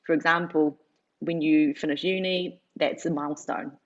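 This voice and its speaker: A woman's voice: sits low, fairly even intonation, normal speed, muffled recording. A woman, telling her story casually as an interview guest, with lots of "like" fillers. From New Zealand. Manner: chatty, filler-heavy, lots of "like".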